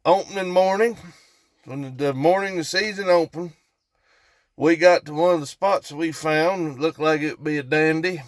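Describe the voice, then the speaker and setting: Male storyteller, Appalachian accent, slightly high-pitched, folksy and casual, unhurried tangents, dry close sound. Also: dry recording. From West Virginia, United States. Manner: folksy, rambling, repeats for emphasis. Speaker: storytelling vlogger.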